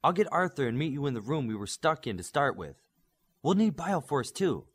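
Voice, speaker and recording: An earnest young superhero tech expert, voiced by a male reader in an audiobook. The delivery is methodical and explanatory, slightly husky, with lively pitch. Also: a little fast. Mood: eager.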